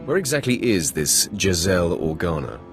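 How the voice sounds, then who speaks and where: Very deep, menacing Sith warrior. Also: male voice, very animated, normal speed, soft background music. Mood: commanding, curt. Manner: curt, imperious. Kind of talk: video game character acting.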